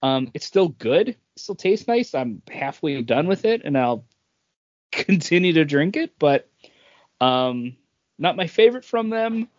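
A man's voice: a little high, very animated, unhurried, no room sound. A man co-hosting a podcast, chatting casually and informally. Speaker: podcast co-host. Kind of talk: casual chat. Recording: dry, dull lo-fi audio.

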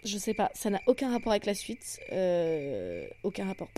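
A woman's voice: medium pitch, huge pitch swings, moderate pace, dark tone, slight background noise. A woman with a Parisian accent, a comedian hosting her podcast in a crude, self-mocking, rambling monologue. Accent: Parisian French. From France. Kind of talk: comic monologue.

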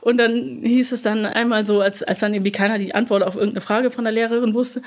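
Interview guest: young woman, relaxed and chatty, full of fillers and quick laughs. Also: fairly high pitch. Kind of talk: casual conversational interview.

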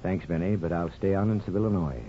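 An actor playing an older, principled small-town barber and father, plain-spoken and measured, in a 1950s radio drama. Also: low-pitched, slight background noise, close to an everyday voice. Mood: grave, troubled. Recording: muffled 1950s radio broadcast.

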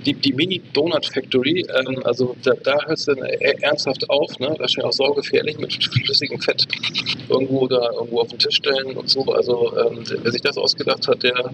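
A man co-hosting a casual podcast, rambling haltingly and repeating words as he searches for the next one. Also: medium pitch, steady tone, background noise. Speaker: podcast co-host. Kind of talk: casual chat. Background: music.

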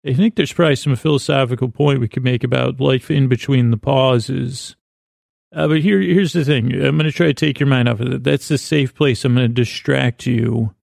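A man hosting a sleep podcast, rambling softly in a halting, self-correcting way with many pauses. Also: mid-range voice, no room sound, clean studio sound. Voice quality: creaky, soft, halting with many restarts. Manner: meandering, self-correcting, drowsy pace. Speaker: podcast host.

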